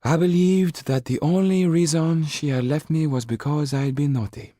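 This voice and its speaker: A man voicing a kind but firm married gentlewoman in a storybook, with an earnest delivery. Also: a little high, moderate pace, no echo, studio quality, voice pushed up, close to an everyday voice. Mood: tender. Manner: earnest, sometimes sharp, sometimes softly breathy.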